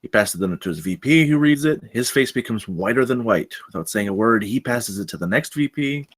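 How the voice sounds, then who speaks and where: A man's voice: medium pitch, expressive, brisk, breathy edge. A man reading stage directions as scripted narration at a film table read.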